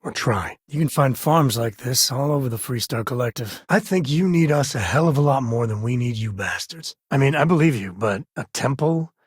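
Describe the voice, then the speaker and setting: An actor voicing a laid-back, protective frontier ranger and father in a space video game, with a husky voice and folksy, wry phrasing. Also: medium pitch, animated, moderate pace, hardly any pauses, no room sound, studio quality. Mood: determined. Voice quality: husky, raspy. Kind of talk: video game character voice lines.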